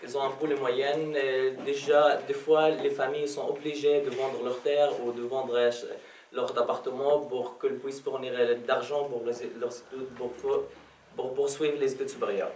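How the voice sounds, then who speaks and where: A young man from Palestine speaking French, giving a personal testimony as a guest speaker, often pausing and restarting his phrases. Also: mid-range voice, flat delivery, a little mellow, slight background noise. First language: Arabic. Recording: roomy, distant mic, faint background ambience.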